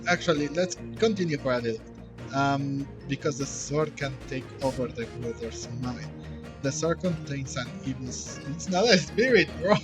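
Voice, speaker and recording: A man's voice: mid-range voice, animated, normal speed, over music. A man streaming a game with casual, informal commentary, reasoning and reacting aloud.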